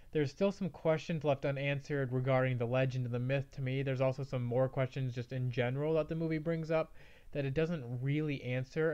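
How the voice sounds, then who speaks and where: Casual male movie reviewer, smooth mid-range voice with a touch of a cold, slightly lo-fi sound. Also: mid-range voice, darkish tone. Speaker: movie review show host. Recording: slightly dull, band-limited audio.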